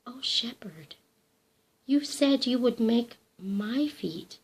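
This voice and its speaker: A woman's voice: on the high side, expressive, slow pace, breathy edge, exaggerated voice. A woman voicing a frightened, timid young woman who pleads in a trembling, breathless voice, sometimes dropping to a whisper. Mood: shy.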